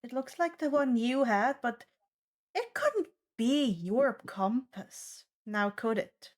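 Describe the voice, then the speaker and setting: A woman running a tabletop game, narrating on the fly in a deliberate way with pauses between phrases. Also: fairly high pitch, animated. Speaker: game master.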